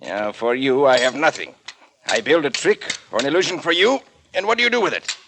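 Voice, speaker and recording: A man's voice: mid-range voice, lively intonation, a little fast, noisy background, natural voice. A man playing a bitter, proud old magician in 1940s radio style, with emphatic, indignant, stilted phrasing. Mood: indignant, dismissive. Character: bitter, proud old male magician turned trick builder.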